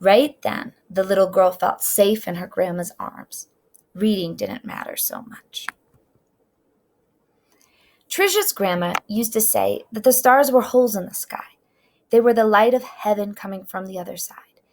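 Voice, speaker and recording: This is a middle-aged woman reading a picture book aloud. Her medium-pitched voice has a noticeable creak, and she phrases the story deliberately and dramatically for young listeners. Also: a little mellow, a little hiss or hum. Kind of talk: expressive children's read-aloud narration.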